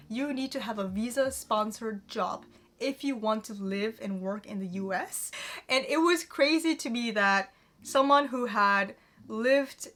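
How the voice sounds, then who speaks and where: High-voiced young American woman, chatty and candid. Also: a bit bright. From United States, Chicago area. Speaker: vlogger, channel host. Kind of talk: casual personal Q&A talk to camera.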